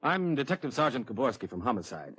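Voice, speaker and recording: An actor playing a brisk, plain-spoken homicide detective sergeant with colloquial tough-cop talk in a 1950s radio drama. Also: male voice, mid-range voice, big pitch swings, a bit bright, breathy edge, no echo. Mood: brisk, matter-of-fact. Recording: dry vintage 1950s radio recording.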